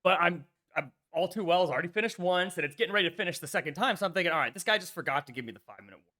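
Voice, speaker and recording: A man with a bright, forceful, slightly raspy voice chatting on a chess stream. He tells stories with energy and acts out what people said. His voice sits a little high. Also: rapid-fire. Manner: animated storyteller, swears, acts out quotes. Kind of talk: casual chat.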